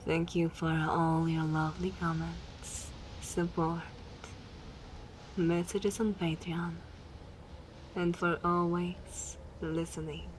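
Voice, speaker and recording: A female ASMR host speaks in a soft, low voice that often drops into a breathy whisper. Her delivery is extremely slow and deliberate, over faint background noise. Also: little pitch movement, low-quality recording. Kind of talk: soft ASMR channel outro.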